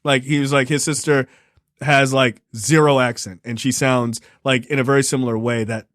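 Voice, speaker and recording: Man, from Baltimore, podcast co-host, mid-pitched, chatty, emphatic, laughs easily, dry close mic. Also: flat delivery, moderate pace, fairly bright tone, no room sound. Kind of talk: casual chat.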